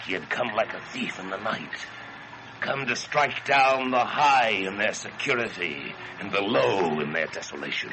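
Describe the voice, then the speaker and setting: A man narrating a gothic horror story in a solemn, theatrical and deliberate manner. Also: fairly low pitch, animated, background noise. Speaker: story reader. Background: dramatic music.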